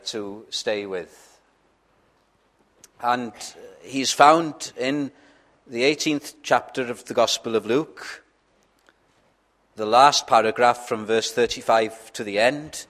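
A man whose first language is Welsh, preaching a sermon in a church in a slow, earnest way with frequent hesitations. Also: mid-range voice, fairly bright tone.

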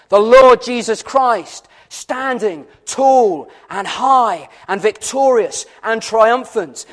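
A man's voice: very high-pitched, a bit bright. A man preaching an evangelistic sermon in a fervent, solemn and emphatic way, building on repeated phrases and rhetorical questions.

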